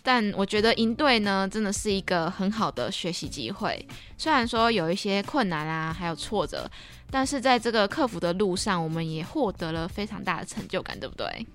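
A woman's voice: medium pitch, animated, fairly quick, fairly bright tone. Young Taiwanese woman, chatty podcast host over music. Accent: Taiwanese Mandarin. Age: young adult. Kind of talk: casual chat. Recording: background music behind the voice.

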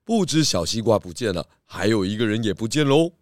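A man playing a confident, composed pig detective with a measured, instructive manner in a children's audio drama. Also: on the low side, sing-song, no room sound, clean studio sound. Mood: smug.

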